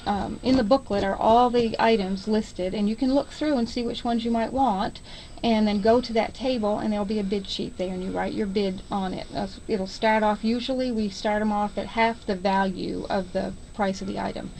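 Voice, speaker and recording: An American woman with a medium-pitched voice speaks as a guest on a radio interview. She explains details in an informal, somewhat halting way. Noticeable noise sits behind her voice. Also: fairly even intonation, creaky, a little mellow, rough recording. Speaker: interview guest promoting a fundraiser. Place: radio station.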